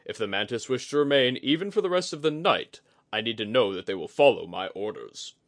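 A man voicing a proud, belligerent warrior commander in an audiobook story, loud, scornful and commanding. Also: medium pitch, expressive, brisk, voice pushed up, lightly acted. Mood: stern, commanding.

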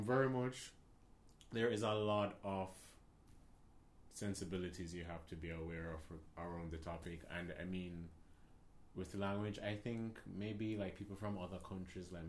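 A man from Jamaica with a Jamaican accent, co-hosting a casual chat video, talking thoughtfully with hesitant pauses. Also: sits low, level tone, slight background noise. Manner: thoughtful, hesitant, many "like" fillers. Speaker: video co-host.

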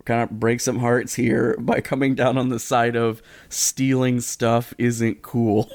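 A man's voice: mid-range voice, level tone, takes it slowly, creaky, darkish tone. A man co-hosting and editing a casual podcast from his living room, chatting in a playful, rambling way with jokey asides. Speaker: podcast co-host and editor. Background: radio sound effects and music.

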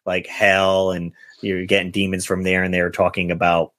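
A man's voice: low-pitched, completely flat delivery, moderate pace, fairly bright tone, no room sound. A man hosting a podcast, chatting casually and reading out film reviews. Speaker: podcast host.

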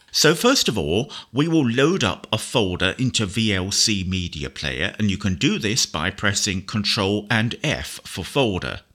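A man presenting a software tutorial in a methodical, precise instructional manner, explaining step by step as he demonstrates. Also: fairly low pitch. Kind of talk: spoken screen-reader software demonstration.

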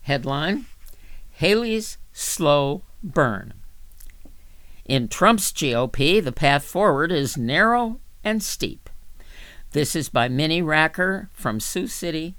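Woman reading aloud with lively, varied pitch. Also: takes it slowly, no room sound. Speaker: volunteer reader for an audio reading service. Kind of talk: scripted narration, reading magazine articles aloud.

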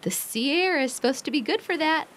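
A protective young American big sister, acted by a woman in a modern audio drama. Her voice sits high and swings animatedly, quick to flare up and full of devotion. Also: animated, fairly bright tone, a little hiss or hum. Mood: earnest, worried.

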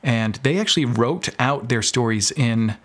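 A man's voice: medium pitch, expressive, normal speed, a bit bright. An American man talking casually and informally as a podcast guest, telling stories about his creative work. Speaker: podcast guest, audio drama producer. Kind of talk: casual interview chat.

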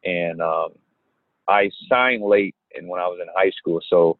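A young man and basketball player from Indiana who answers interview questions in an unhurried way, with many pauses. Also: low voice, no room sound. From Gary, Indiana. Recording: tinny phone-like line.

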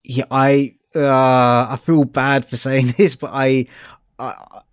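A man co-hosting a casual review discussion, halting and apologetic when he gives criticism. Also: medium pitch, little pitch movement, smooth, no room sound, studio quality. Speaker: audiobook review podcast co-host.

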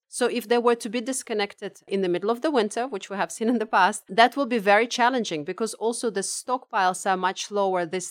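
A woman's voice: medium pitch, no room sound, studio quality. A woman economist from Latvia, answering interview questions earnestly and precisely, with slightly phrasing. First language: Russian. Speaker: economist guest.

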